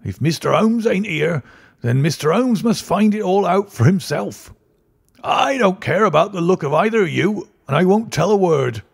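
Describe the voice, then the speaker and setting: A man reading an audiobook, voicing a petulant, obstinate very old man with a high, quavering, drawling voice. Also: expressive, moderate pace, creaky, husky, exaggerated voice. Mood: stubborn.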